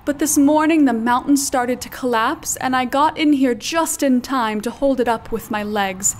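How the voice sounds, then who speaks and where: Clever puppet ewe, played by a woman: very high voice, meek pleading, melodramatic, for children's theatre. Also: moderate pace, close to an everyday voice. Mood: feigned distress. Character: clever female sheep feigning meek helplessness. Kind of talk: children's puppet show character acting.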